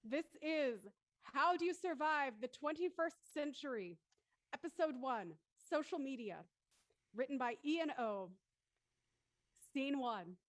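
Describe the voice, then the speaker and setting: A woman with a high voice hosts a live show. Her style is upbeat and conversational, and the recording is dry and close. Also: animated, measured pace, no room sound. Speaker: host of a live audio drama show. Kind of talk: upbeat casual hosting.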